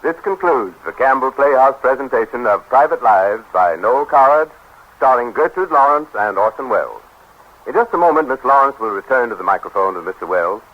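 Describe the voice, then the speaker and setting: A man announcing in a formal, measured 1930s radio style. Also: mid-range voice, lively intonation. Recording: dull, faintly noisy 1930s radio broadcast.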